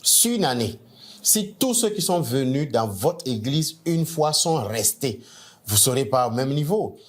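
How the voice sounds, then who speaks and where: A middle-aged man from Nigeria, a native English speaker, coaching church leaders in a steady teaching talk. He speaks in a measured way, often restarting phrases and leaning hard on key words. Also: medium pitch. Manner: measured, with frequent restarts and stresses.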